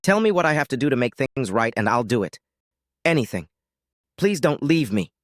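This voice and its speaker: A man's voice: mid-range voice, very animated, normal speed, no echo, natural voice. A man voicing a distraught, pleading wife who stammers and gasps through her words. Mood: tearful, pleading.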